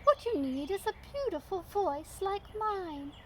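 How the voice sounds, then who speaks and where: A woman's voice: extremely high pitch, very animated, a little slow, a little mellow, a little hiss or hum, pitched above the performer's own voice, exaggerated voice. A woman voicing a prim, vain, politely critical nightingale in a children's storybook. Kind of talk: children's storybook read-aloud.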